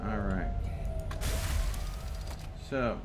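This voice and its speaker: A man's voice: fairly low pitch, expressive, extremely slow, over music. A man giving casual, chummy gameplay commentary as a game streamer, chatting to his viewers. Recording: loud game ambience and vehicle noise.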